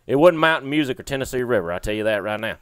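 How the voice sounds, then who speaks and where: A man tells a meandering story in a plain, unhurried way. His voice sits at an ordinary middle pitch, recorded close and dry with no room sound. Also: animated, a bit bright, smooth, no echo. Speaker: podcast co-host. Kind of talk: casual storytelling.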